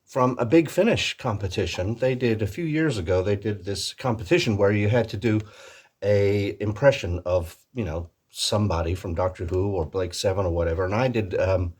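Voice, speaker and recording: A man with a slightly low, husky voice talks in a put-on American accent. He hosts in a casual, rambling way, full of jokey, self-deprecating asides. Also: normal speed, a little mellow. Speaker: host of a Doctor Who fan video channel. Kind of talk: casual comic vlog chat.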